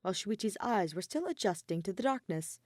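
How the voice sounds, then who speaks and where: A woman reading fan fiction as a scripted dramatic narration, with deliberate pauses and emphasis. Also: medium pitch, animated, a little mellow, dry recording, studio quality.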